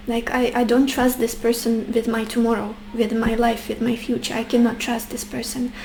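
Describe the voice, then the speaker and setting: Flat-toned tarot reader woman, losing her voice. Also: a little high, vocal fry, a little hiss or hum, low-quality recording. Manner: gentle, addresses viewers warmly as beautiful souls; muses aloud with soft hesitations. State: losing her voice, a little sick. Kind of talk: tarot card reading to the viewer.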